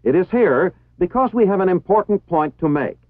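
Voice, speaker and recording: A man with an American accent reading formal, scripted instructional narration in a measured, precisely enunciated way. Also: sits high, animated, no room sound. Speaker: training film narrator. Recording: tinny phone-like 1960s film soundtrack.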